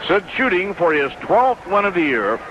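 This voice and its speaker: High-pitched man calling baseball on vintage radio. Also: very animated, moderate pace. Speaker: baseball play-by-play announcer. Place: stadium broadcast booth. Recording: dull noisy vintage AM radio.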